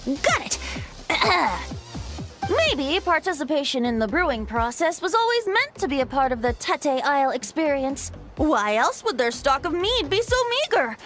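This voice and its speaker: Lilting, high-voiced boyish bard. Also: female voice, big pitch swings, unhurried, over music. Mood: mock-innocent. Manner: lilting, theatrical, poetic. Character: playful, whimsical young male bard, teasing and carefree. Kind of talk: anime game voice acting.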